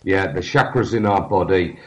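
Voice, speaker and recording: A man, talking casually in a halting way with many fillers and restarts. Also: low-pitched, a bit bright. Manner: halting, many fillers and restarts, loses his train of thought. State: has a cold. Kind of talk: casual podcast conversation. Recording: dull lo-fi sound.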